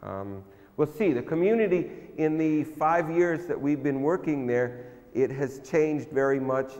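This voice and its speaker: An older man, a guest lecturer, answering audience questions in a relaxed, hesitant way with many pauses. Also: a little high. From United States. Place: large frescoed hall, indoors. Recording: long hall reverb.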